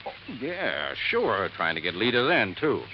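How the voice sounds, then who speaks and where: Defensive rough suspect, evasive, animated, 1950s radio drama, muffled sound. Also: male voice, lowish voice, a little slow. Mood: evasive, uneasy. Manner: evasive, hesitant, gruff. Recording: muffled, noisy old radio recording.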